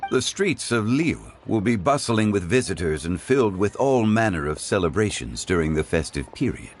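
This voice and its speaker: A man voicing a courteous, refined gentleman in a video game who speaks in a formal, measured and eloquent way. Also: lowish voice, very animated, slight background noise. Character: refined, courteous gentleman fond of the finer things. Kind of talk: video game cutscene dialogue.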